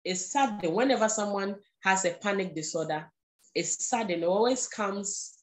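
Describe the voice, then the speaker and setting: A woman's voice: medium pitch, normal speed. A woman with a Ghanaian accent, for whom English is not her first language, gives a patient live-streamed talk, repeating key points and checking in with "okay". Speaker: guest speaker on mental health.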